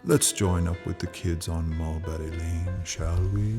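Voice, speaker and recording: Deep, sinister radio mystery host. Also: male voice, fairly even intonation, measured pace. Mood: sly, ominous. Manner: theatrical, teasing, ominous. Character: sly, sinister male narrator of an old-time mystery show. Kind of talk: old-time radio horror hosting. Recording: music under the voice. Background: spooky music.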